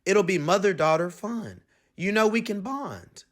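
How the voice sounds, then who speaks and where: Man reading as an upbeat mom, lively and high. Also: a little slow. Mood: coaxing. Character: upbeat, caring superhero mother planning family outings.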